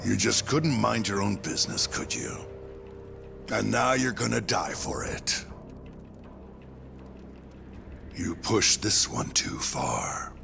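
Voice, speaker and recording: A menacing middle-aged gangster boss, with a low, heavily fried voice that swings widely in pitch. He sneers and threatens in cinematic crime-drama style over prominent music. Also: expressive, unhurried, heavily acted. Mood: cold menace. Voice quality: gravelly. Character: menacing, foul-mouthed middle-aged yakuza family captain. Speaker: voice actor in a video game dub. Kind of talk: cinematic crime game acting.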